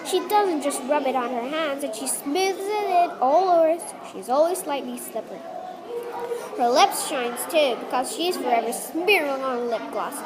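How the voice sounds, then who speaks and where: High-voiced female story reader amid crowd noise. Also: lively intonation, normal speed. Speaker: book reader at a read-aloud event. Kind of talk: reading a children's story aloud. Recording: busy crowd noise behind the voice.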